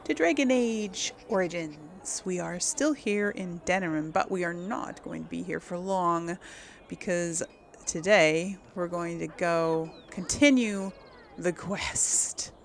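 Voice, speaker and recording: A woman's voice: fairly low pitch, takes it slowly, gravelly, slight background noise. A woman giving casual gameplay commentary as the host of her series, informal and conversational, thinking aloud as she goes. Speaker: video game streamer, host of a role-play series.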